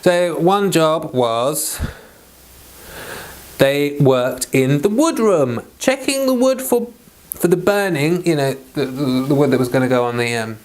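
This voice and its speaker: A man's voice: fairly high pitch, lively intonation, unhurried. A British man reading scripture aloud and explaining it informally, in a rambling, self-interrupting way. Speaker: Bible reader and teacher.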